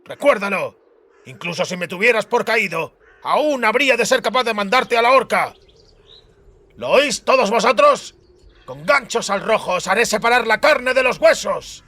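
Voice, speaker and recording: A man's voice: high-pitched, animated, moderate pace, sharp tone, dry recording, voice pushed up, exaggerated voice. A man voicing a venomous, commanding villain colonel in an audiobook, drawling pompous archaic threats. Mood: venomous threatening.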